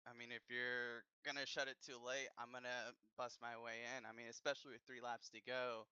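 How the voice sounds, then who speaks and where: A man's voice: mid-range voice, flat delivery, brisk, pushed and bright, clear, resonant tone, dry recording, lo-fi sound. A man talking as a race driver after a race, blunt and defensive about his moves. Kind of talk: post-race interview.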